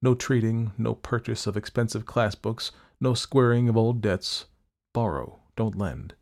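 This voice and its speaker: A man's voice: fairly low pitch, measured pace, darkish tone. A man voicing a cynical young doctor and murderer in a storybook reading, controlled, worldly and coaxing. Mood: smooth persuasion.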